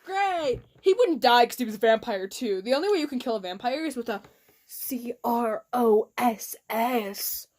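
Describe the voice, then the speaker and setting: A woman's voice: on the high side, lively intonation, normal speed, a little mellow. A woman doing a mock-dramatic fan fiction reading with casual asides, giggly and theatrical.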